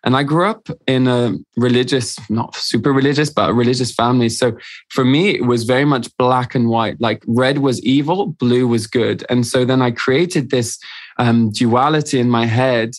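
A man's voice: mid-range voice, fairly even intonation, moderate pace, dry recording. A man who grew up in Switzerland and France, a podcast guest telling his own story in a candid, chatty way with plenty of "um"s. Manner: candid, chatty, many fillers. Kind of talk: casual storytelling interview.

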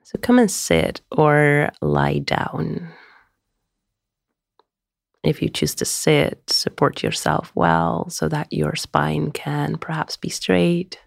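A woman guiding a meditation in a soothing, gentle, unhurried way, often trailing into a breathy near-whisper. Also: low-pitched, animated, no room sound, studio quality. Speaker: meditation guide. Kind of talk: guided meditation.

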